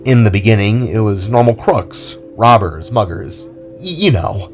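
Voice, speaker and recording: A man's voice: on the low side, expressive, a little slow. A man acts out a younger-brother spark creature in an audio drama, an earnest vigilante who is a little self-conscious. Music runs behind the voice. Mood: candid, musing.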